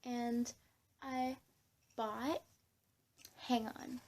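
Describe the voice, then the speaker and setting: Young female vlogger, fairly high voice, heavy vocal fry, rambling casual chat, scattered and self-interrupting. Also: level tone, very slow, a little mellow, a little hiss or hum.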